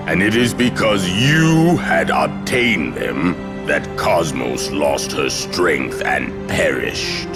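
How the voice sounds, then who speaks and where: Very deep gravelly villain of the void. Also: male voice, heavily acted. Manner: pompous, slow, grandiose. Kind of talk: theatrical video game voice acting.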